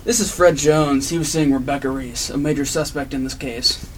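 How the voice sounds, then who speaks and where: A man's voice: mid-range voice, steady tone, normal speed, lightly acted. A man playing a hot-tempered, vengeful, confrontational police detective in an amateur old-time radio drama, on a noisy recording. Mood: accusing. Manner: confrontational, accusing.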